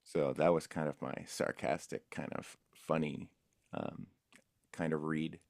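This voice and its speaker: A man's voice: extremely low pitch, steady tone, a little slow, darkish tone, no room sound, studio quality. A male voice actor casually recalling past jobs in an interview, in an easygoing, rambling way. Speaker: voice actor guest.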